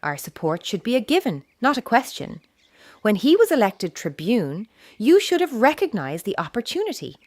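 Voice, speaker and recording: A firm, practical Roman mother, acted by a woman, speaks with pointed authority. Her pitch moves expressively, and the audio drama recording is dry and close. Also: huge pitch swings, normal speed, darkish tone, no echo. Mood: reproachful. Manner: commanding, pointed. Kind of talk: historical audio drama acting.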